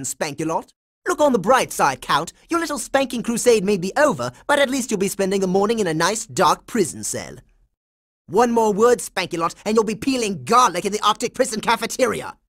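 A man's voice: high voice, fairly bright tone, exaggerated voice. Cartoon game kid hero, bossy boy agent, smug and dramatic, voice swings all over the place. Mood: smug, confident. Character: bossy, heroic boy secret agent, confident kid leader. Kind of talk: cartoon video game voice acting.

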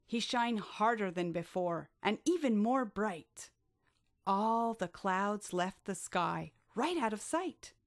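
A woman narrating a rhyming bedtime story for children with expressive, drawn-out delivery. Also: mid-range voice, no room sound, studio quality.

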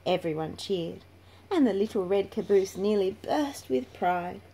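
A woman's voice: medium pitch, expressive, unhurried, dark tone, husky. A woman reading a children's picture book aloud in a storytelling style, in a noisy home recording. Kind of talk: slow read-aloud storytelling.

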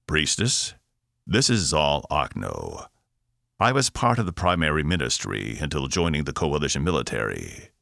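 Narrator as a measured alien envoy: very deep, gravelly, slow and formal. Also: male voice, big pitch swings, fairly bright tone, no room sound, clean studio sound, heavily acted. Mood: solemn. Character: solemn male alien in exoskeleton, formal.

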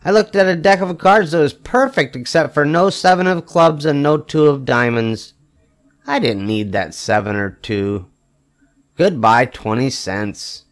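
Older man reading a storybook, slightly high voice. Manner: emphatic, with drawn-out wry punchlines. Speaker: storybook reader. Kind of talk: expressive read-aloud of a children's picture book.

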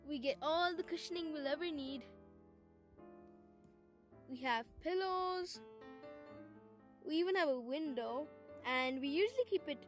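A young-sounding female vlog host, explaining and instructing in a casual travel-vlog style. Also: sits high.

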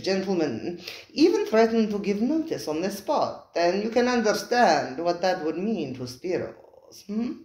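A reader voicing a sly, smooth male restaurant owner who purrs his words with false charm. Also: medium pitch, a little mellow, roomy sound, voice pushed up, rough recording. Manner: purring, silky, falsely genial.

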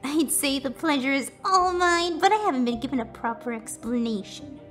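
Sardonic female ex-agent for pony audio drama, played by a woman. High-pitched, dry, spirited, faint music behind. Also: expressive, moderate pace, a bit bright.

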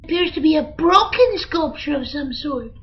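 A woman voicing an excitable, childish young male monkey in an old-time radio parody, talking in an impulsive, whiny way. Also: sits high, moderate pace. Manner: impulsive, whiny, easily distracted. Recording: muffled lo-fi audio with music.

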